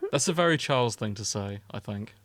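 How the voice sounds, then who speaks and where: A man's voice: lowish voice, lively intonation, fairly quick, a bit bright, no echo. A man co-hosting a podcast, chatting casually about television in a teasing, sweary way. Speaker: podcast co-host. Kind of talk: casual banter about TV with friends.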